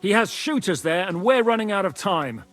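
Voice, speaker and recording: An actor playing a stern, foul-mouthed medieval commander in a video game, gruff and used to giving orders. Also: male voice, high voice, animated, moderate pace, fairly bright tone, faint music behind. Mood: commanding. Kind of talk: dramatic video game acting.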